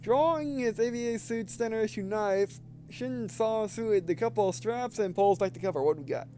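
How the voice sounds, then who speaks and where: A man's voice: high-pitched, normal speed, dark tone, a little hiss or hum. A man reading visual novel text aloud with casual commentary as a let's player, stumbling over words as he goes. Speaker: video game let's player.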